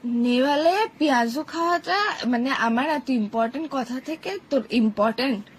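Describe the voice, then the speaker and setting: A woman's voice: high-pitched, normal speed, a little hiss or hum. A young woman, playing a girlfriend on a comic phone call who scolds and hurls insults at her boyfriend.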